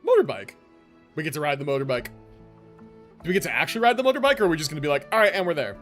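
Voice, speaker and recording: A man's voice: on the high side, very animated, very fast, fairly bright tone. A young man giving casual, slangy, laid-back commentary as he livestreams a game. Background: game music.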